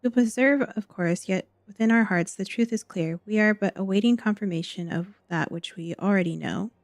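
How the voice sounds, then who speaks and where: A woman voicing a gracious, dutiful noblewoman in a fantasy game, reading formal archaic dialogue in a gentle, measured manner. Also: medium pitch, no echo, close to an everyday voice. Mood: solemn, gracious.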